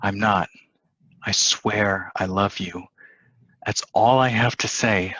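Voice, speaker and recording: A man's voice: on the low side, steady tone, slow, fairly bright tone, no echo, lo-fi sound. A man playing a defensive, insistent cheating husband in a stage play reading. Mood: defensive, pleading.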